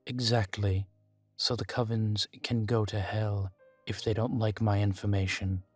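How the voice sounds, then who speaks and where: Guy doing a weary proud vampire informant for a roleplay audio, kinda low, formal and careful, guarded but fond, bit of music under it. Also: little pitch movement, dry recording. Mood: guarded, defiant. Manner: formal, measured, deliberate phrasing. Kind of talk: scripted audio roleplay to the listener. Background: background music.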